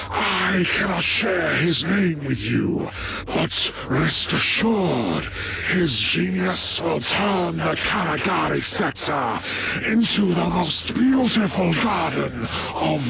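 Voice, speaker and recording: An actor playing a mocking, theatrical plague-worshipping traitor in a video game. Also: male voice, on the high side, expressive, slow, vocal fry, over a noisy crowd, full character voice. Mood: gleeful menace. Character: gloating plague-worshipping traitor servant of dark god. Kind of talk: video game villain acting.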